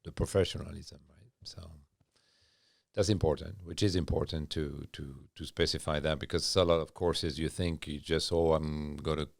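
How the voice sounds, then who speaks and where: A man's voice: very deep voice, steady tone, normal speed, creaky, dry recording, studio quality. A middle-aged man from France with a French accent, a culinary instructor chatting in an interview, hesitant and full of fillers. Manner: hesitant, many fillers and restarts. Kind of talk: casual interview chat.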